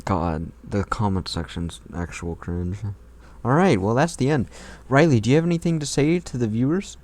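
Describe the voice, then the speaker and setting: A man's voice: mid-range voice, animated, normal speed, a little mellow. A man hosting a mocking fan fiction read-aloud, with casual, irreverent, profane asides.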